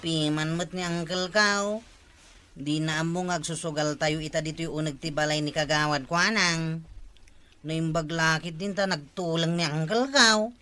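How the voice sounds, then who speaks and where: A man's voice: a little high, steady tone, moderate pace, bright, forceful tone, clean tone, faint music behind. A man with an Ilocano accent playing an eager card-playing villager in a comedy drama.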